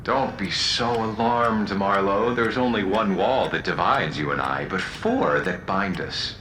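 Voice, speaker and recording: An actor playing a mocking, menacing male prisoner in a radio drama, theatrical and softly condescending. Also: on the low side, a little slow, background noise, lightly acted. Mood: sly, probing.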